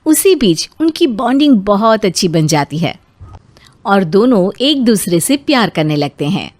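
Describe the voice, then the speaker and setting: A woman narrating a scripted animated family story. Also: mid-range voice, measured pace, no echo.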